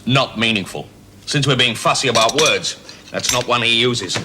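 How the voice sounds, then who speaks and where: A man's voice: medium pitch, moderate pace, close to an everyday voice. A man acting in a 1970s television drama as a domineering, sardonic, middle-aged research director with a curt manner. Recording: noisy 1970s television soundtrack.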